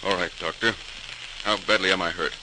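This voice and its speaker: An actor playing a driven, determined bridge engineer and father in 1940s radio drama, forceful and decisive. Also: low voice, steady tone, a little fast, lightly acted. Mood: stern, impatient. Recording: noisy, crackly old radio transcription.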